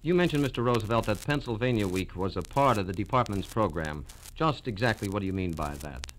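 A man's voice: fairly low pitch, lively intonation, brisk. A man working as a radio interviewer, speaking in a formal scripted style. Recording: noisy, clicking 1940s radio transcription disc.

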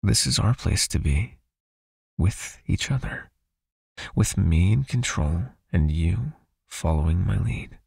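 A man playing a possessive, soothing vampire captor in an intimate ASMR hypnosis roleplay, speaking slowly and breathily, coaxing and gently commanding. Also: lively intonation, dry recording, studio quality, exaggerated voice. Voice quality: husky, breathy, low, often near a whisper.

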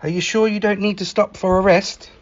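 A man reading a picture book aloud in an expressive, animated manner, acting out the exclamations. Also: sits high, fairly quick, dull audio. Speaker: library staff story reader.

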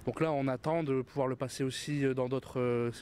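A man's voice: medium pitch, fairly even intonation, a little fast, darkish tone, slight background noise. A man speaking casually and hesitantly as a filmmaker being interviewed.

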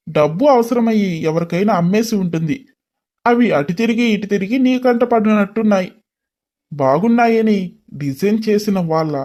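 A man plays a young businessman in an audio story. He is irritable, scolding and quick to taunt. His speech is sprinkled with English words. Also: sits high, darkish tone, lightly acted. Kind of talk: dramatised story dialogue.

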